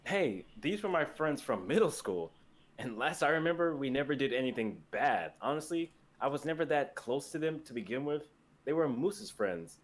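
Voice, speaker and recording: A man's voice: medium pitch, big pitch swings, moderate pace. A young man playing an optimistic, easygoing store worker in a dramatic script reading. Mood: shaken, uneasy. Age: young adult.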